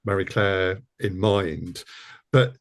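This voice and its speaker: Halting, lowish-voiced man interviewing. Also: fairly even intonation. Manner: halting, repeats words as he frames questions. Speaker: interviewer and producer. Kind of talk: conversational interviewing.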